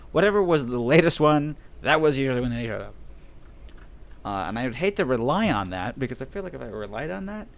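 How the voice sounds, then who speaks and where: A man's voice: medium pitch, sing-song, fairly quick, creaky. A young man hosting a podcast, losing his voice, chatting casually with lots of laughs and fillers. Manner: rambling, laughs often, many fillers. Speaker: podcast host. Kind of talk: casual chat.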